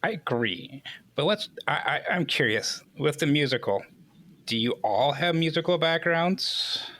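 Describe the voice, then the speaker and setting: A man's voice: on the high side, lively intonation, unhurried, sharp tone. A man hosting a casual podcast interview in a conversational way. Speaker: podcast host.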